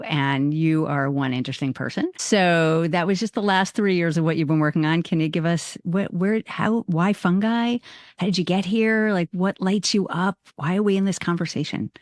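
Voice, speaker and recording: A woman's voice: lowish voice, normal speed, no room sound, studio quality. Enthusiastic rambling woman host. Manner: enthusiastic, rambling, restarts her sentences. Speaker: podcast host. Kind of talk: casual interview chat.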